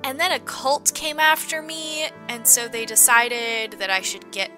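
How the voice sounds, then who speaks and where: A woman's voice: a little high, little pitch movement, a little slow, bright, forceful tone. A woman playing a friendly, secretive small-town reporter who rambles through her stories in an improvised audio drama. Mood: chatty, confiding. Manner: chatty, rambling storyteller. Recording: music bed behind the voice.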